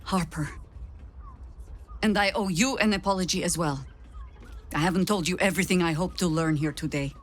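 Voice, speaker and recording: An actress playing a stern, weary veteran woman commander in a video game, speaking in a measured, grave and authoritative way. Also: fairly low pitch, natural voice. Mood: regretful, resolute. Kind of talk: dramatic video game acting.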